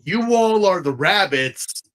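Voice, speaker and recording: Guy podcast host riffing casually, sharp bright voice a bit high, slangy, repeats himself for emphasis. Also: expressive, slow pace. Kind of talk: casual review chat.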